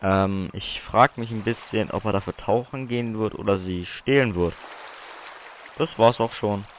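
A man's voice: on the low side, moderate pace, a little hiss or hum. A man leaving a casual, informal voice message as a listener of a show.

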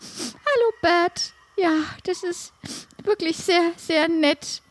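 Woman playing flustered, very high-voiced shopkeeper lady. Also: slow. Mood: shy, friendly. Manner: flustered, stammering, polite. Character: Beate, timid, easily moved female shopkeeper. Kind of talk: improvised audio drama.

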